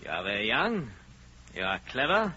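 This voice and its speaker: An actor playing a high-ranking spymaster in a 1930s radio drama, formal, deliberate and commanding, on a dull, noisy old recording. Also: male voice, huge pitch swings, bright, forceful tone, full character voice. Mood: commanding, businesslike. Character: authoritative, secretive male spymaster of high rank.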